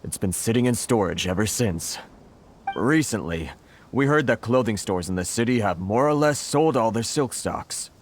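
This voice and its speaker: An actor voicing a worried foreign merchant in a game, who sighs often and sounds apologetic. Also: male voice, fairly low pitch, animated, moderate pace, slight background noise, natural voice. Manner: fretful, sighing and apologetic. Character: anxious foreign merchant, sighing and put-upon. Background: game music.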